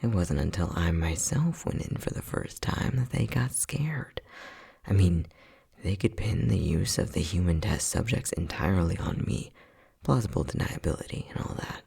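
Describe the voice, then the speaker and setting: A man acting as an unhinged, playful and casually cruel scientist in an ASMR roleplay, flippant and sardonic, with a husky voice that often drops near a whisper. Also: low-pitched, animated, normal speed, a little mellow, full character voice. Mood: defiant, sardonic. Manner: flippant, sardonic, mock-soothing, muttering.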